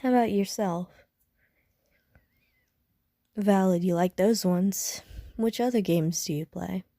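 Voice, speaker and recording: A woman's voice: mid-range voice, slow, mellow, no room sound. A woman playing a casual, slightly prickly young gamer in an audio roleplay, talking hesitantly and with dry self-mockery to the listener. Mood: relaxed, chatty.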